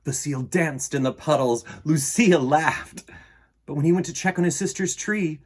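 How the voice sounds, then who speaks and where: A man in his forties with a slightly husky voice, reading and presenting a children's picture book in an expressive, dramatic way. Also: on the high side. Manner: animated, dramatic, with deliberate pauses and emphasis. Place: small indoor room in front of bookshelves.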